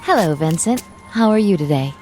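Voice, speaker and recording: An actress playing an earnest, weary young social worker and dutiful daughter, speaking in a restrained, educated way in a radio drama. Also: female voice, lowish voice, expressive, brisk, a little mellow, natural voice. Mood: friendly. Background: faint music.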